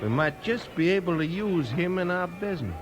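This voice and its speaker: An actor playing a brash, coaxing carnival crook in a 1950s radio western. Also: male voice, sits high, lively intonation, brisk, soft background music. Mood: slick, coaxing.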